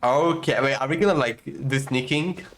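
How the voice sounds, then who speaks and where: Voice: mid-range voice, normal speed, noisy background. A game streamer giving casual, reactive commentary, muttering and thinking aloud while playing. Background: faint game music.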